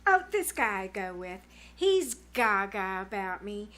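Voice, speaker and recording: A woman's voice: mid-range voice, sing-song, a little slow, sharp tone. A woman playing a bossy, gushing teenage girl who talks in dramatic slang, in 1940s radio comedy style. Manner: slangy, dramatic, know-it-all. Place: small-town theater stage, live performance.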